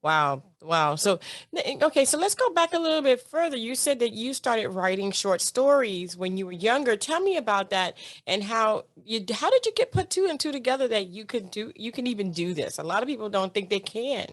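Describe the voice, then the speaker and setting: A woman's voice: on the high side, animated, brisk, no echo. A woman hosting a radio interview show, effusive and enthusiastic as she asks her questions.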